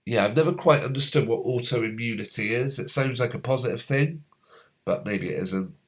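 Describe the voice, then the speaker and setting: A man hosting a live stream, reading out viewers' comments in a halting, rambling way, his voice going hoarse as a cold comes on. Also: medium pitch. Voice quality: slightly hoarse. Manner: rambling, halting, many fillers.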